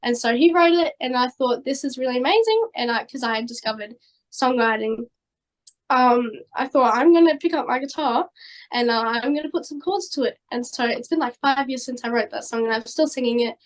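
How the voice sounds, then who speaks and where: A young woman, a singer chatting casually as a guest, talking fast with lots of fillers and a slightly hoarse voice. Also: high-pitched, lively intonation, poor audio. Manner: chatty, many fillers, quick bursts. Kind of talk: casual interview chat.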